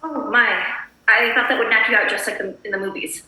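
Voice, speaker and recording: A woman's voice: on the high side, steady tone, fairly quick, sharp tone. A woman playing an anxious, polite suburban housewife in a screenplay table read, prim and chirpy. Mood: fussy, apologetic. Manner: prim, apologetic, fussy. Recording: echoey room.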